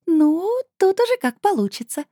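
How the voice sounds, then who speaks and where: A woman reader voicing a playful, coaxing female being with a soft, enveloping mezzo voice in a storybook. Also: very high voice, very animated, normal speed, no echo, studio quality, pitched above the performer's own voice. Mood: mock innocent. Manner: purring, teasing, coaxing.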